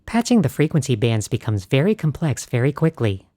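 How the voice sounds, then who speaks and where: A woman hosting a tutorial, narrating scripted explanations in a clear, explanatory tone. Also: rumbling low, sing-song, a little fast, mellow, no echo, clean studio sound. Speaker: host.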